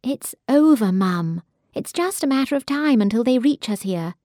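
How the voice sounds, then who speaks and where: Woman doing desperate young daughter, high and pushy, begging her mum to leave. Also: lively intonation, normal speed, mellow, no echo, clean studio sound, natural voice. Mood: urgent. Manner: pleading, urgent. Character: urgent young woman in wartime flight.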